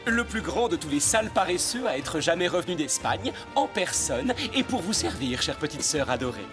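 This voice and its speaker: Suave masked hero, lively pitch. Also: male voice, normal speed. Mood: teasing. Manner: suave, mocking, playfully polite. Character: dashing, teasing young masked swordsman hero. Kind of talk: French animated series dubbing. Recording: loud music behind the voice.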